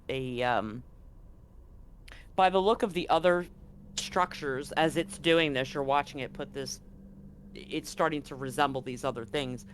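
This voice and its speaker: Game master running a tabletop game, mid pitched voice, casual n kinda halting. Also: slight background noise. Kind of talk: tabletop roleplaying narration, casual.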